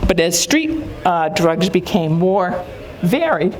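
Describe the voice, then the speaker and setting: An older woman giving a conference talk, recalling her early career in an unhurried way with pauses. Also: on the low side, sing-song. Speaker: conference speaker. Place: conference hall.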